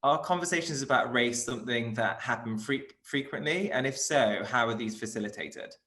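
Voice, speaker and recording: A man's voice: medium pitch, moderate pace, pushed and bright. A man hosting an online panel discussion, chatty and encouraging as he introduces guests and asks questions. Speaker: panel host.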